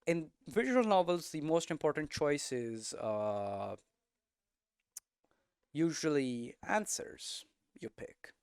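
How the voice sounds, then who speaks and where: A man giving an informal explanatory lesson as a presenter, posing questions and answering them himself in halting, emphatic phrases. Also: a little high, lively intonation, clean tone, no echo, clean studio sound. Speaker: presenter of a game development explainer series.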